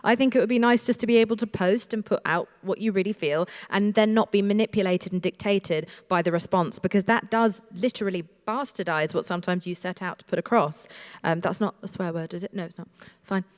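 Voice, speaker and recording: A woman's voice: medium pitch, fairly quick. A woman speaking earnestly as a panelist, giving her opinions in a discussion. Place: large hall, public panel with audience. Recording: echoey hall, amplified panel.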